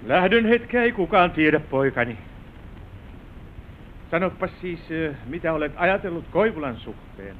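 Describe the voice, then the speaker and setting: Grave manor patriarch, expressive, tinny recording. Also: male voice, on the high side. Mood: admonishing. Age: 50s. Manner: measured, paternal, admonishing. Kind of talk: old radio drama acting. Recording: noisy, tinny old radio drama recording.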